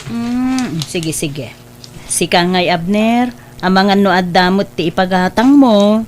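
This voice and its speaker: A woman voicing a caring middle-aged aunt who gently encourages her nephew in a family radio drama. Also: mid-range voice, slow pace, a little hiss or hum, lightly acted. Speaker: voice actor.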